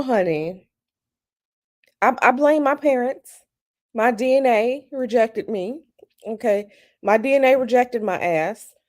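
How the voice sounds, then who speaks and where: A woman with a slightly raspy morning voice, chatting casually with viewers on a live stream from home and greeting them by name. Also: fairly high pitch, a little mellow. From United States. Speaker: tarot channel host. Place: at home, bedroom.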